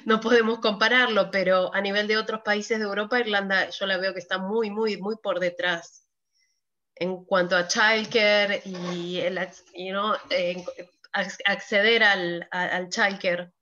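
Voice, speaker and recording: Brief: woman, mid-pitched, hesitant participant in a discussion, muffled call audio. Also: steady tone, bright, forceful tone. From Argentina. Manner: hesitant, with pauses and fillers. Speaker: workshop participant. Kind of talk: casual discussion.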